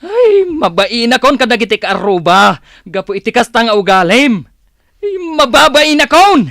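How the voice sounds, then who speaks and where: Fed-up Filipino husband, radio comedy: sharp, forceful, embarrassed and grumbling about his wife. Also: very high voice, very animated, moderate pace, no room sound, studio quality, full character voice. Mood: exasperated, ashamed. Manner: complaining, exasperated. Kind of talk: comic radio drama acting.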